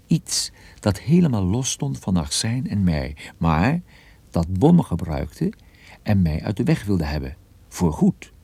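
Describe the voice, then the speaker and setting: An actor playing a wry, sardonic male private detective, narrating his own case in a measured radio drama style. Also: deep voice, very animated, creaky, mellow, slightly rough, no echo, heavily acted. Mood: wry, reflective.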